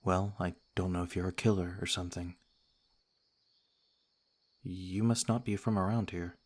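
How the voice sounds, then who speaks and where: A man playing a courteous, cautious noble vampire lord in an ASMR roleplay, speaking in a formal, gentle, measured way. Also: deep voice, fairly even intonation. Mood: calm, guarded courtesy.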